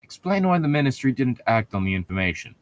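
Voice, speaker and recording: A man's voice: mid-range voice, big pitch swings, fast talker. A man reading fan fiction aloud and breaking off into sarcastic, sweary commentary with an exasperated, mocking manner.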